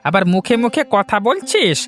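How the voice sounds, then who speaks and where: A man's voice: sits high, sing-song, moderate pace, fairly bright tone. A man playing a mean, snobbish village father in a cartoon fairy tale, curt, threatening and scornful. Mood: stern, threatening. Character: mean, snobbish village parent, bullying and strict. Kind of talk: animated fairy tale dialogue.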